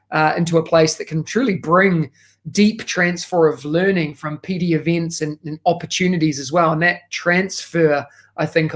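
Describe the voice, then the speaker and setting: A man with a New Zealand accent, talking enthusiastically as a podcast guest, with frequent fillers. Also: on the high side. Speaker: guest, education consultant. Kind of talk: casual podcast interview.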